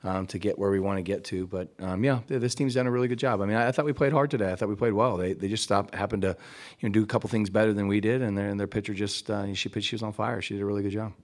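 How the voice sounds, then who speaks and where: A middle-aged man, a head coach, answering press questions at a postgame press conference in halting sentences full of restarts, into a table mic. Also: lowish voice, steady tone. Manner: halting, many restarts and fillers.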